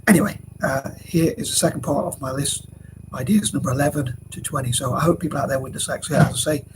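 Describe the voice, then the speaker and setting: A man's voice: a little high, fast. A young man with a cold, talking to camera in a rambling way with many fillers and restarts. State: has a cold. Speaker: vlogger. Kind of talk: casual talk to camera. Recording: noisy background hiss.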